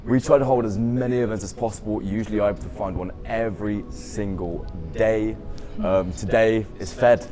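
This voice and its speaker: A man's voice: fairly low pitch, steady tone, moderate pace, mellow, soft background music. A young man in his twenties, a students' union officer who grew up in the UK, answering interview questions in an upbeat, chatty way with lots of "I mean" and "um". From United Kingdom. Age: 20s.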